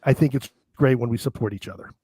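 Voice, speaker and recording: Man hosting a live stream, voice going hoarse. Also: medium pitch, fast, warm tone, dry recording. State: hoarse, losing his voice. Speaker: host.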